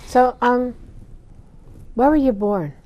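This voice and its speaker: A woman's voice: fairly high pitch, takes it slowly, dark tone. An older woman hosting a community TV interview show in a small studio, chatting through a lapel mic with small reactions. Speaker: talk show host. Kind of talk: casual interview chat.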